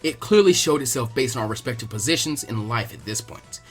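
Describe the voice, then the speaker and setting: Man doing a cool, arrogant boy prodigy, measured. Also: mid-range voice, expressive, soft background music, lightly acted. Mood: smug, cold. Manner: condescending, measured, deliberate. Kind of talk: dramatic audiobook character acting.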